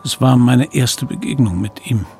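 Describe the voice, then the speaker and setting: A man playing a middle-aged narrator who wistfully recalls his childhood, measured and sombre, in a radio drama. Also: medium pitch, creaky, warm tone, faint music behind, natural voice. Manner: measured, reflective, sombre storytelling.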